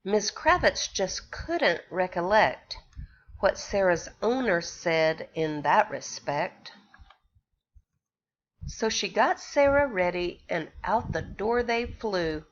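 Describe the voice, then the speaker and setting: A woman, a pre-K teacher, reading a picture book aloud to young children and pausing to ask them questions. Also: mid-range voice, big pitch swings, highs cut off. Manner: slow, sing-song storytelling with questions to the children.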